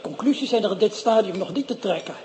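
A pedantic, irritable professor lectures in a high, mellow voice,. He is long-winded and easily annoyed. A faint hiss sits under the recording. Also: lively intonation, fast. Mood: fussy. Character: fussy pedantic male professor, toxicologist. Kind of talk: radio drama acting.

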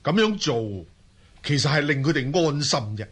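An actor playing a loyal, shrewd Mongol warlord of about forty in a Cantonese radio drama, speaking firmly and earnestly. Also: male voice, fairly high pitch, lively intonation, normal speed, a bit bright. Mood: respectful, assured. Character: forty-one-year-old Mongol warlord, loyal, shrewd, respectful leader.

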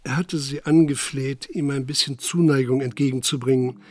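Sober male narrator, medium pitch. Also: steady tone, normal speed, a little mellow, dry recording, clean studio sound. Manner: sober, detached storytelling. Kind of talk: scripted literary narration in radio drama.

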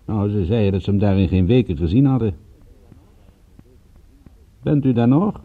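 A man's voice: lowish voice, animated, normal speed, phone-quality sound. An actor playing a wry, teasing male private detective with dry banter in a radio drama. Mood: businesslike. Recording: band-limited old radio play recording.

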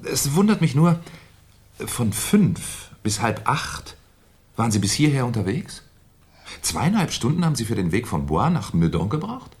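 A man acting a polite, pedantic, quietly sceptical police inspector in a German radio crime play, questioning hesitantly and deliberately. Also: medium pitch, very animated. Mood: sceptical, insistent.

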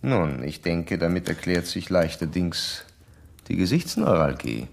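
Stern psychoanalyst, radio drama, low voice, animated intonation, formal old-fashioned diction, self-assured. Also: male voice, unhurried, vocal fry, exaggerated voice. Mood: smug, lecturing.

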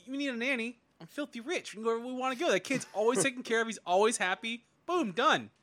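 Male podcast guest, casual game chat, bright high-pitched voice. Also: quick pace.

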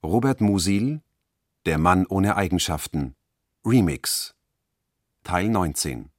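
Slow deliberate guy reading credits on radio, low voice, dry studio. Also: lively intonation, a little mellow, no echo. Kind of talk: formal credits announcement. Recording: dry close studio sound.

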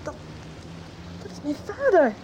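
A woman playing a troubled, reluctant teenage daughter in a radio soap, speaking haltingly. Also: extremely high pitch, big pitch swings, full character voice. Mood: shaken, unwilling. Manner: hesitant, halting. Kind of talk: 1990s radio soap acting. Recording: radio drama with background noise.

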